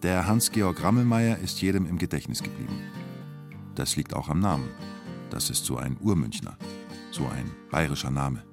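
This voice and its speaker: An actor as the storyteller of a documentary radio drama, narrating in short, punchy, colloquial present-tense phrases with a vivid manner. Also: male voice, extremely low pitch, animated, moderate pace, gravelly, music in the background, heavily acted. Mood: vivid storytelling. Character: storyteller recounting a Munich bank siege.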